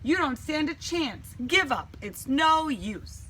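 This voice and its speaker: A greedy male storybook squirrel, played by a woman reading aloud, stubborn and insistent about his hoard. The voice is pushed fairly high, with faint noise in the background. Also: very animated, slow pace, sharp tone, full character voice. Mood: defiant. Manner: insistent, hollering.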